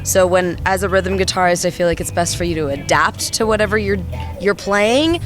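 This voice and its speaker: A young woman with a raspy voice, a rhythm guitarist chatting casually in an interview. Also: lowish voice, brisk, music in the background. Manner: casual, laughing easily, chatty.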